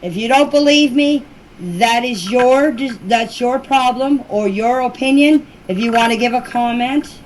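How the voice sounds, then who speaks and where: A woman's voice: a little high, a little slow. A woman giving a defensive, insistent personal account in answer to questions, speaking emphatically in an informal voice message. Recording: noisy amateur voice-clip recording.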